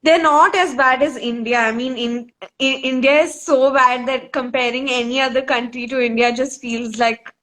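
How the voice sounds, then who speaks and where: A woman with a slightly high voice hosts a live online discussion over a video call. She asks her questions politely and at a measured pace, with the flat sound of a laptop mic. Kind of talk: casual live-stream interview.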